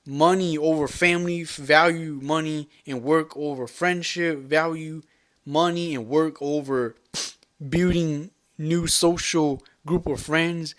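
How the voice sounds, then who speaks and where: A vlogger speaks with a fairly high-pitched voice as he rants at the camera. His delivery is rambling and emphatic, circling back on the same points and peppered with curses. The sound is dry, with almost no room echo. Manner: rambling, repetitive, profane and emphatic. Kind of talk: unscripted rant to camera.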